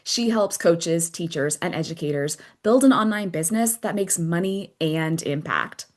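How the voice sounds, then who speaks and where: A woman's voice: on the low side, moderate pace. A woman hosting a podcast, moving between a prepared introduction and conversational interviewing. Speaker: podcast host.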